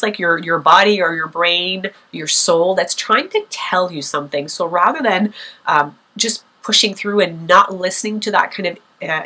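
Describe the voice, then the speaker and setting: Bright-voiced woman vlogger with a cold. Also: fairly low pitch, slightly rough. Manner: relaxed, thoughtful, many fillers. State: has a cold, sniffling. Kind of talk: casual talk to camera.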